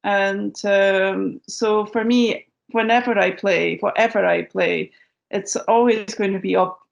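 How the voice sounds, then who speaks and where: Scottish woman with a gravelly voice. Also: mid-range voice, fairly even intonation, lo-fi sound. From Scotland. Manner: thoughtful, measured, with frequent ums and restarts. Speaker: guest musician. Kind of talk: interview conversation.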